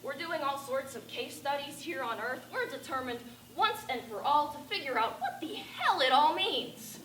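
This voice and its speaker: A teenage girl playing an eccentric, rambling bag lady who talks to aliens, with a raspy voice and a ranting, confiding stage-monologue delivery. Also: high-pitched, animated, normal speed, a bit bright. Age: teens. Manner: ranting, confiding, addressing passers-by. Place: stage performance. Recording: echoey room with background noise.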